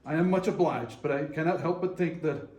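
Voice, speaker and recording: A man reading the part of an old miser, anxious and pleading, with halting, exclamatory delivery in a readers theater performance. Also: a little high, darkish tone, lightly acted. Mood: wary, reluctant. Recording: echoey room recording.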